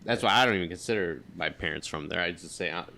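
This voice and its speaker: A man hosting a casual podcast chat, talking in a halting way with many restarts. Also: low-pitched, sharp tone.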